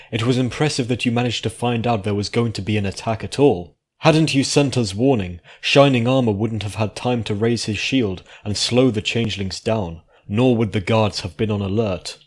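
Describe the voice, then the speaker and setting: A regal pony princess from a fantasy story as a male reader plays her. The delivery is gentle and composed, with measured phrasing. The register stays low. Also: husky. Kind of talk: dramatic fan fiction reading.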